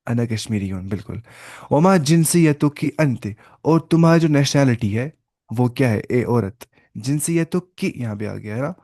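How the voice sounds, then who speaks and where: A man of middle pitch teaching a class over a video call. He speaks patiently and repeats things, slowing down to sound out each example phrase. The sound is dry and close, with no room echo. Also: lively intonation, mellow. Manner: patient, repetitive explaining. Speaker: Arabic teacher. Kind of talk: online class lecture.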